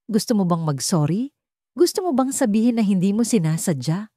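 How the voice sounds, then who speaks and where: A woman's voice: medium pitch, lively intonation, brisk, warm tone, no echo, studio quality, pitched above the performer's own voice, close to an everyday voice. A woman voicing a hurt, betrayed young woman who confronts her crush in an accusing, wounded way, in a story.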